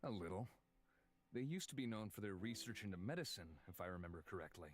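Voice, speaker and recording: An actor voicing a guarded but considerate adventurer, measured and a little hesitant, in a dramatic visual novel style. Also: male voice, fairly low pitch, animated, close to an everyday voice. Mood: concerned, careful. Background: background music.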